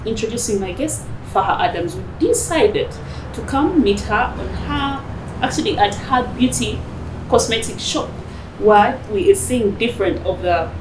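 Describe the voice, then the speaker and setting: A distant, echoing recording with clear background noise carries a woman's voice. She speaks at a medium pitch, presenting as the host of a TV interview show. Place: cosmetics shop, indoors. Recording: roomy, distant mic, noisy background ambience.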